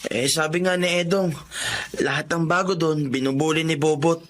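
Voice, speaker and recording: A man's voice: fairly high pitch, steady tone, normal speed, fairly bright tone, natural voice. A man playing a timid, caring teenage older brother in a Filipino radio drama, with a meek, hesitant delivery. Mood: meek, aggrieved.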